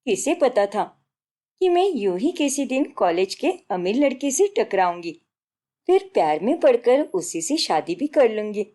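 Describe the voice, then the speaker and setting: A woman's voice: on the high side, big pitch swings, a little slow, mellow. A woman voicing a showy, boastful young newlywed daughter-in-law in a story, insistent and bragging, dropping in English words. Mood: smug.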